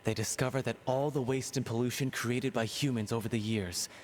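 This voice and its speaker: A man playing an excitable playwright in an anime-style game, with a dramatic, expressive storyteller's manner. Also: medium pitch, brisk, a little hiss or hum, natural voice. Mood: dramatic narrating. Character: excitable, theatrical male playwright. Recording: faint music under the voice.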